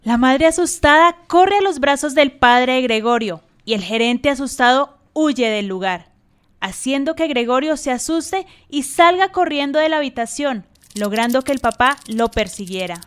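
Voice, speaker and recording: A woman's voice: sits high, animated, normal speed, smooth. A woman giving scripted narration for a radio drama, with rain-like hiss.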